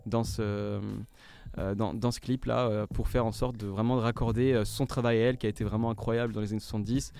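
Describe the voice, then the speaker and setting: A man's voice: lowish voice, steady tone, brisk, a little mellow, clean tone. A young man from French Guiana, a musician guest on a radio interview, talking hesitantly with many fillers and restarts.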